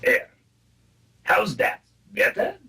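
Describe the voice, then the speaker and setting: A man's voice: slow, recorded from a distance, noisy background, lightly acted. A man playing a polite but menacing wartime captor and interrogator in a comic radio play, with exaggerated courtesy. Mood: obliging.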